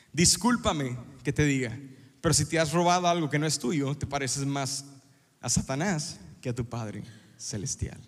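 A middle-aged man preaching a sermon as a pastor, animated and joking, acting out little scenes as he talks. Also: high-pitched. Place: church sanctuary. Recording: long hall reverb in a church.

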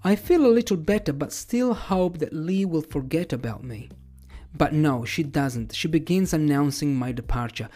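A man's voice: on the high side, faint music behind. Italian-native man telling a story. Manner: relaxed, measured storytelling with occasional fillers. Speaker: podcast host and English teacher. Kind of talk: storytelling podcast narration.

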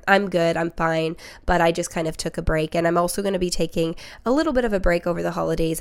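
A woman's voice: lowish voice, clear, resonant tone. A young woman in her twenties, a bit sick and slightly nasal, hosting a solo podcast and earnestly encouraging her listeners. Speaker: podcast host and spiritual reader. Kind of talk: solo reflective podcast monologue.

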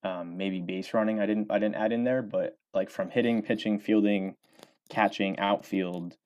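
Young male author, very low voice, loose rambling delivery with lots of ums. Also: fairly even intonation, moderate pace, a little mellow, dull audio. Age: 20s. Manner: rambling, many fillers and restarts. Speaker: author and former college baseball player. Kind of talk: casual interview answers.

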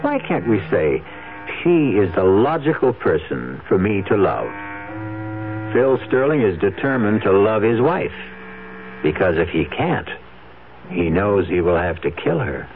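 A man's voice: on the low side, sing-song, a little slow. A man narrating a radio mystery as host, in a dramatic, musing and ominous manner. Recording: muffled 1970s radio broadcast with music.

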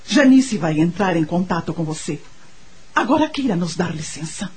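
An actress with a Brazilian accent playing a dignified, bitter, grieving mistress of a mansion in a radio drama, speaking formally in a husky voice. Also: female voice, on the low side, big pitch swings, darkish tone, slight background noise. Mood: firm, cold. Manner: formal, measured, commanding. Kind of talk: Portuguese-language radio drama acting.

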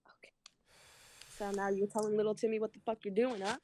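A woman's voice: medium pitch, little pitch movement, quick pace, mellow, a little hiss or hum. A young woman with a Toronto accent on a video call, sassy and swearing freely as she fires back in casual banter. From Canada. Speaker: guest on a video chat.

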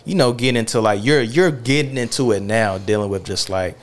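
A man's voice: mid-range voice, a little fast, crowd noise. A man hosting a podcast, talking in a loose, rambling way full of filler words and restarts. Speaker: podcast host. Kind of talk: casual podcast conversation.

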